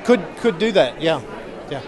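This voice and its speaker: A man in his forties, a political candidate, speaks with a fairly high voice, earnest and halting, stumbling over repeated words. He is interviewed in a large indoor convention hall. A busy crowd murmurs all around him. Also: sing-song. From Missouri. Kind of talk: on-the-spot interview. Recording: busy crowd noise behind. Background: crowd chatter.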